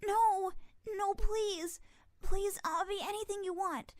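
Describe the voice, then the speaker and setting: A woman's voice: extremely high pitch, steady tone, slow pace, a little mellow, no room sound, clean studio sound. A woman voicing a young orphan pony filly in an audio drama, emotional and childlike. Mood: desperate pleading. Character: young orphan filly, frightened, pleading, defiant.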